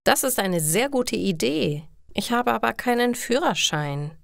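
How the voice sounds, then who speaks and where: Woman reading as an eager young lad, unhurried. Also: a little high, big pitch swings, vocal fry, dry recording, clean studio sound, voice pushed up, heavily acted. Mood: pleased. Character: eager young male school leaver seeking work.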